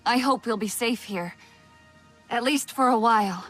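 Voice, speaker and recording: An actress playing an earnest, caring young female alien fighter in a video game, with a gentle and sincere manner. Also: on the high side, a little slow, faint music behind. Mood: grieving. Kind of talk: video game character acting.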